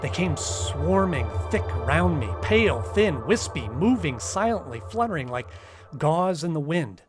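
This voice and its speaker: A man acting a nervous poet in a radio drama, narrating an eerie ordeal in a halting, stammering way. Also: fairly high pitch, sing-song. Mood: awed, uneasy. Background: music.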